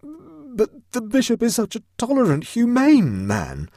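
A man voicing a flustered, indignant middle-aged gentleman who gasps and protests in a high, panicky voice. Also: wildly swooping pitch, measured pace, dry recording, studio quality, heavily acted. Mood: indignant, panicked. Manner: high-pitched, gasping and panicky.

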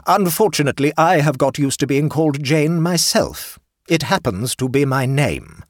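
A man's voice: fairly high pitch, wildly swooping pitch, moderate pace, no echo, clean studio sound, pitched above the performer's own voice. A man reading an audiobook, voicing a stern, imperious older aunt who speaks coldly and firmly. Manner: cold, firm, magisterial. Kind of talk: audiobook character voice.

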